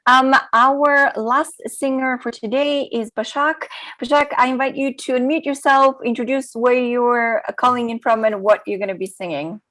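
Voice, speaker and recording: A woman's voice: fairly high pitch, fairly even intonation, normal speed, a bit bright. A woman moderating a video call, politely thanking people and introducing the next singer. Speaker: program moderator. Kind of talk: announcing.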